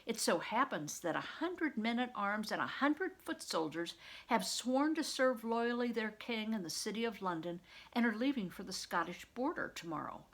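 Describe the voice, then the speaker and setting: A woman voicing a kindly, patient male monk who teaches in archaic, measured phrasing for a storybook. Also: on the high side, very animated, a bit bright, full character voice, rough recording. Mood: reassuring.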